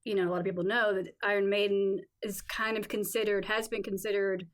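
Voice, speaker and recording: A woman's voice: mid-range voice, little pitch movement, fairly quick. A woman co-hosting a podcast in casual film discussion, informal and emphatic, peppering her sentences with "like". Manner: informal, emphatic, heavy use of "like". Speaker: podcast co-host.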